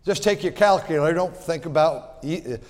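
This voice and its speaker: A man in his sixties preaching a sermon in a folksy way, repeating words and swinging from loud to quiet. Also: on the high side, normal speed, in a large hall. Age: 60s. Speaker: pastor.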